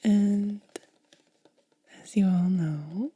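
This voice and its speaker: A woman hosting ASMR, speaking softly in a breathy, hushed whisper and lingering over short phrases. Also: mid-range voice, extremely slow. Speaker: ASMR video host. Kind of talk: soft-spoken ASMR.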